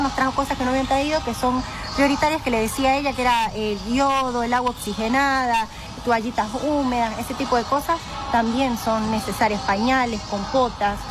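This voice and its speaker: Higher-voiced woman listing donations briskly. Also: low-quality recording. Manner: brisk listing with frequent "eh" fillers. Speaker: campaign spokesperson. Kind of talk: on-site radio interview. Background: background music.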